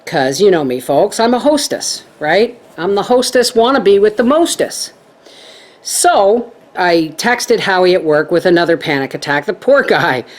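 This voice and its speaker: A middle-aged woman chatting to her viewers as a homestead vlogger, telling stories in a lively way. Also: lowish voice, a little slow. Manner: chatty, animated storytelling. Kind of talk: casual chat to viewers.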